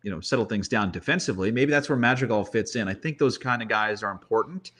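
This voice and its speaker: A man's voice: on the low side, fast, fairly bright tone. A man giving off-the-cuff sports analysis in casual talk, conversational, often repeating words as he thinks aloud. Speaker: baseball commentator, guest analyst.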